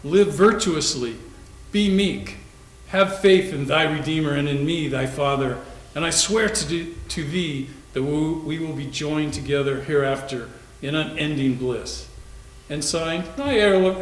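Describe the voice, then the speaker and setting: A man's voice: fairly high pitch, a little slow, close to an everyday voice. A man in a readers theater playing a young man who declaims a stern, pious father's sermon in a melodramatic way. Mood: preachy, solemn. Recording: echoey room.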